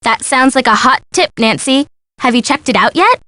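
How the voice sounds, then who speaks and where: A woman's voice: high voice, expressive, moderate pace, pushed and bright, dry recording. A woman voicing a perky, teasing young sidekick in a detective video game. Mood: curious, bubbly. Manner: bubbly, teasing, playful.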